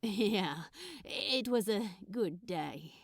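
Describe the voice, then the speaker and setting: A woman's voice: medium pitch, animated, drawn-out words, husky, no room sound, clean studio sound, heavily acted. A woman voicing an awkward, smitten man who speaks hesitantly, stammering with ums and uhs. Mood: sheepish. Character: awkward, smitten adult man, bashful and flustered.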